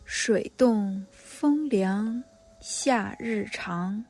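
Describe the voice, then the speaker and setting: Female poetry reciter; breathy, extremely slow, deliberate phrasing, faint music behind. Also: medium pitch, darkish tone. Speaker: poem reciter.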